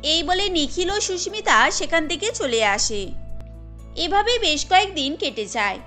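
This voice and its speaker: A woman's voice: very high voice, lively intonation, a little slow, sharp tone. A woman narrating a scripted cartoon story as its storyteller. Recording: music under the voice.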